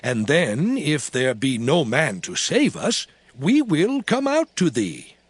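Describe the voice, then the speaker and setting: A man's voice: on the high side, very animated, slow, breathy edge, no echo, exaggerated voice. A man playing a pleading town elder under siege in a dramatized scripture audio drama, imploring and theatrical.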